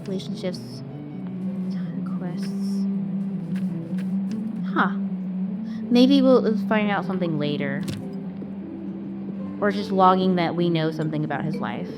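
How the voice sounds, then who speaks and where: A woman streamer giving casual, expressive, reactive commentary while she plays a game. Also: fairly low pitch, takes it slowly, long pauses, loud music behind the voice. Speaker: gaming streamer.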